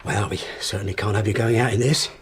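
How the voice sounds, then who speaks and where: An actor playing a desperate middle-aged uncle in an audio drama, blustering and wheedling behind a forced joviality. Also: male voice, fairly low pitch, steady tone, fast talker, a little hiss or hum, lightly acted. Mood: forced cheer.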